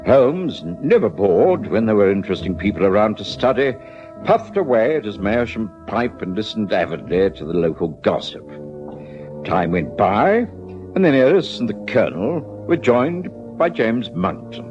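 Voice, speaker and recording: A man playing a genial middle-aged English gentleman doctor and narrator in a radio drama, with a British accent. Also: on the low side, very animated, moderate pace. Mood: measured narrating. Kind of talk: radio drama acting and first-person narration. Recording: dull lo-fi radio recording, music behind.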